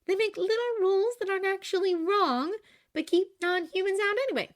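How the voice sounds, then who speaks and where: A woman voicing a bubbly, excitable young woman in a storybook reading, with a high, chirpy and breathless delivery. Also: a little fast. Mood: earnest.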